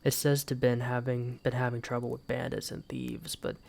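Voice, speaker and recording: A man playing an embittered exiled knight in a fantasy audio drama, speaking formally and solemnly with a gravelly voice and dramatic pauses. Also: medium pitch, flat delivery, darkish tone, close to an everyday voice. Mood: guarded. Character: embittered exiled knight, wounded pride, grieving for his mother. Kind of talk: first-person fantasy roleplay audio drama.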